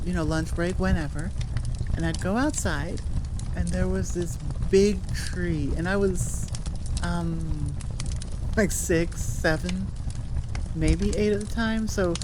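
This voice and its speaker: A woman chatting casually and off the cuff to her listeners, musing in a halting way with long pauses. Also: lowish voice. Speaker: audio roleplay creator and host. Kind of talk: rambling casual chat, outro to listeners. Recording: clearly noisy background.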